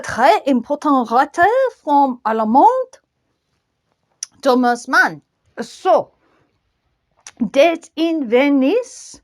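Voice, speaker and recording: Slow, high-voiced French-accented woman. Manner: halting, restarts, sprinkles French words. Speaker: book channel host. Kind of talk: casual book review.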